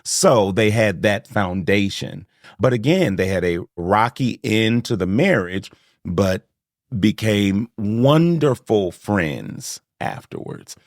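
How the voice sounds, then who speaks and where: A man's voice: fairly low pitch, sing-song, takes it slowly, no room sound, clean studio sound. A man hosting a podcast, chatting casually and animatedly, laughing often and acting out quotes. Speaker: podcast host. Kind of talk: casual chat.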